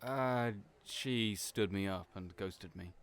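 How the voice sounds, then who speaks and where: A man acting in an audio roleplay as a kind, gentle, slightly awkward friend, hesitant and understated in manner. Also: lowish voice, takes it slowly, clear, resonant tone. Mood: guarded. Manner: hesitant, soft-hearted, understated. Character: kind, awkward, gentle male friend, guarded about past heartbreak.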